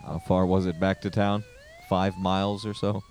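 An actor playing an anxious, remorseful, decent scientist in a science fiction audio drama. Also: male voice, low-pitched, little pitch movement, normal speed, a little mellow, slight background noise. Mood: subdued.

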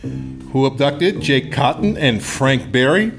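A man announces a radio serial with drama and deliberate slowness. His voice is gravelly and moves widely in pitch, with prominent plucked guitar music behind him. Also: expressive.

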